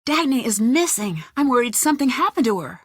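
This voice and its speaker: A young female sleuth in an adventure game. She is polite and inquisitive, with a fairly high voice in a dry, close studio sound. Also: big pitch swings, fairly quick, dry recording. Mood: concerned. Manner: inquisitive, clearly scripted questioning. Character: curious, polite young female amateur detective. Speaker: game protagonist, investigator. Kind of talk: video game character dialogue.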